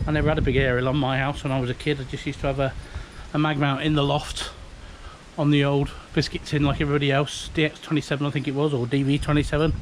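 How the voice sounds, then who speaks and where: A man's voice: on the high side, level tone, quick pace, a little hiss or hum, rough recording. A British man in his fifties giving a chatty, unpolished, rambling show-and-tell as a hobbyist, recorded outdoors on a handheld device. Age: 50s. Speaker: hobbyist video maker. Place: outdoors beside a house, breezy. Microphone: handheld camera or phone.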